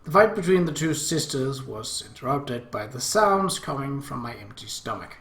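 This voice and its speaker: A man's voice: mid-range voice, normal speed, vocal fry. A man hosting a let's play, expressively reading a visual novel aloud with his own asides. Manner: expressive, animated. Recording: roomy echo.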